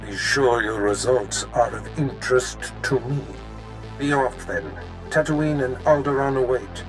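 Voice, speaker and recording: An actor playing a cold, scheming male Sith lord in a video game, giving orders in an imperious, deliberate manner. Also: mid-range voice, soft background music, natural voice, rough recording. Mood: commanding. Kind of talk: dramatic scripted game dialogue.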